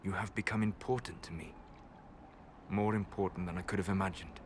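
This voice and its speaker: An actor playing a guarded, scholarly male elf mage who speaks calmly and in a measured way, in game cutscene dialogue. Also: low voice, a little hiss or hum, natural voice. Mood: solemn. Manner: calm, measured, soft-spoken. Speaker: video game character.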